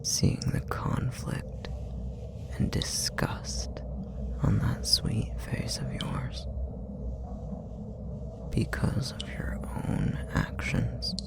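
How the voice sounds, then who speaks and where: A man voicing a possessive, manipulative demon in an intimate ASMR roleplay, whispering with a gravelly fry in a deliberate, taunting way. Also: very deep voice, wildly swooping pitch, exaggerated voice. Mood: sly, amused. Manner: slow, deliberate, taunting, condescending. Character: possessive, manipulative male puppetry demon, coldly menacing.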